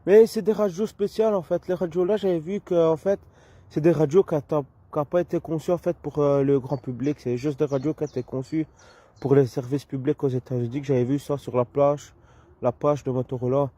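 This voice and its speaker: A man's voice: a little high, steady tone, a little fast, dark tone, dry recording, lo-fi sound. A man with a Belgian French accent chatting casually as a radio hobbyist, explaining things in a rambling way.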